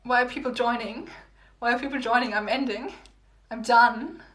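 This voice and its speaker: A young woman chatting casually with livestream viewers, rambling and repeating herself with little laughs, her voice going hoarse. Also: a little high, steady tone, moderate pace, fairly bright tone. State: losing her voice. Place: home room. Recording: echoey room, phone livestream.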